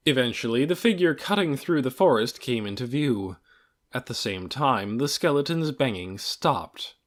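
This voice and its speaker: A man reading a fantasy audiobook in a dramatic, measured storytelling manner, with deliberate pauses between phrases. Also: medium pitch. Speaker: audiobook reader. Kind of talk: scripted fantasy novel narration.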